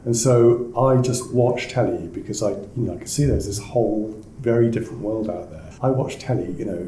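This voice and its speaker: A man's voice: lowish voice, brisk, dark tone. A man, telling wry, blunt anecdotes as a casual interview guest. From South Wales. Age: 50s. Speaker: podcast guest, family lawyer. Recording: echoey room with faint noise.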